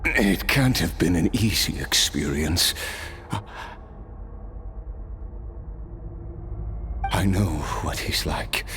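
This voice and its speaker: A man's voice: sits low, lively intonation, a little slow, a bit bright, slight background noise. A man voicing a world-weary immortal warrior in a video game, with a wry, brooding delivery. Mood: reflective. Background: music.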